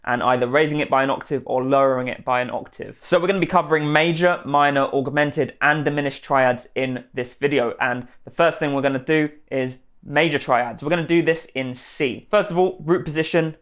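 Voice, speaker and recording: A man's voice: mid-range voice, steady tone, fairly quick, hardly any pauses, a bit bright. Man teaching guitar step by step. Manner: step-by-step, spelling out instructions. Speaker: guitar teacher, channel host. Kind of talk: instructional guitar tutorial to camera. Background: guitar playing.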